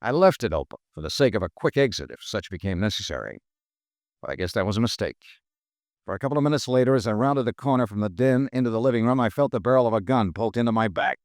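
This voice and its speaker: An actor playing a hard-boiled insurance investigator who narrates and questions people in a dry, laconic, wry way, in a 1950s radio drama. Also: male voice, on the low side, animated, fast, dry recording. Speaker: detective narrator.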